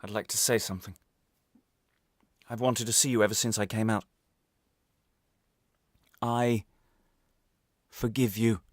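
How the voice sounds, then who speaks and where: A man playing a wronged, quietly defiant ex-prisoner in a radio play monologue, confessional, wry and halting. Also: lowish voice, steady tone, no echo, clean studio sound. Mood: defiant, aggrieved.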